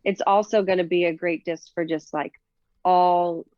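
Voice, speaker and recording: A woman's voice: fairly low pitch, level tone, normal speed, darkish tone, dry recording, muffled recording. A woman from Minnesota with a Minnesota accent, chatting casually in an interview with many fillers and easy laughs. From Minnesota, USA. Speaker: professional disc golfer, guest.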